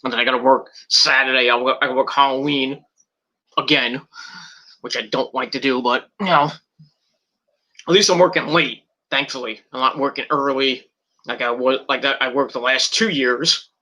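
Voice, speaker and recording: A man's voice: mid-range voice, fairly even intonation, moderate pace, bright, forceful tone, rough recording. A middle-aged man with a cold and a tickling cough, rambling casually in a vlog with many fillers and restarts. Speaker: wrestling video reviewer.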